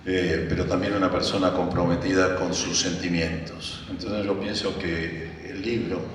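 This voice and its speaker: A man's voice: low voice, flat delivery, moderate pace, a little hiss or hum. A man giving a rambling public speech at a book presentation, hesitant, with many fillers and restarts. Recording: echoey hall, from far off.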